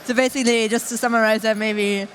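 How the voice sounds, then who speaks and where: A woman moderating a conference panel, speaking in a measured way as she sums up answers and frames new questions. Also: a little high, steady tone, slight background noise. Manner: measured, summarizes and sets up questions. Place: conference stage.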